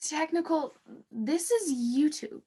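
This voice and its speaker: An actress playing a naive, jittery co-host in theatrical 1940s radio comedy style. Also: female voice, sits high, slow pace, mellow. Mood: alarmed, puzzled.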